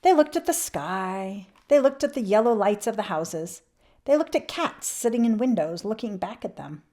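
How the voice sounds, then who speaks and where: A woman reading a children's picture book aloud expressively, with emphatic swells and hushed drops. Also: mid-range voice, expressive, moderate pace. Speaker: children's read-aloud channel host.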